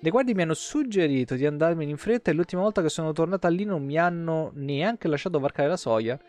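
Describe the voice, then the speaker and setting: A man's voice: fairly high pitch, lively intonation, fast talker. A man, livestreaming as he reads game lore aloud expressively, with offhand asides and self-corrections. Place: indoor room, webcam livestream. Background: soft guitar game music.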